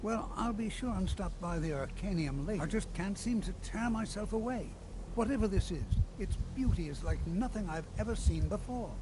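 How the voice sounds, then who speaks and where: A high-voiced, self-important older male mage for a fantasy video game. He speaks formally and wordily, like a scholar who is easily affronted. Loud noise sits behind the voice. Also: lively intonation, normal speed. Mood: enraptured. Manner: pompous, formal, wordy. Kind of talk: scripted fantasy game dialogue.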